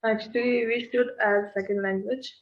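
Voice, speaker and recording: A woman guest with an Indian English accent, a native Hindi speaker, answering in short, hesitant, halting phrases. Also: a little high, steady tone, reverberant, dull audio.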